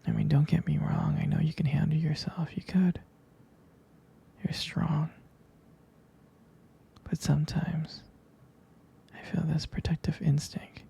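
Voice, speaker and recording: A man playing a jealous, devoted young boyfriend in an intimate ASMR roleplay, speaking in a hesitant, tender, breathy half-whisper. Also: on the high side, little pitch movement, a little slow, long pauses, dark tone, exaggerated voice. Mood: jealous, earnest.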